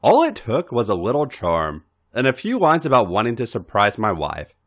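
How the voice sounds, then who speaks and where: A smug, mocking male wrestler in an audio story, put on by a male narrator. He taunts with seductive menace and heavy emphasis, pitch leaping up and down. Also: wildly swooping pitch, normal speed, a bit bright, exaggerated voice.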